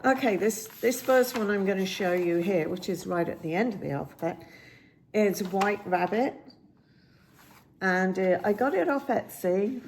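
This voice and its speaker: A woman with a slightly croaky voice chats casually about her cross stitch projects, rambling with many ums and restarts, filmed on a phone at home. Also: medium pitch, measured pace, darkish tone, slight background noise. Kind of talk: casual show-and-tell chat. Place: indoors at home by a window. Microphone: phone camera.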